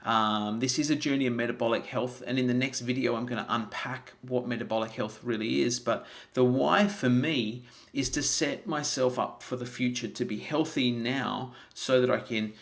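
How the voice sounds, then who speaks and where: A man's voice: medium pitch, moderate pace, gravelly, hardly any pauses. Earnest man in his forties. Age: 40s. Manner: earnest, repeats words, many restarts. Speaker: health vlogger. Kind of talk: live-streamed motivational talk.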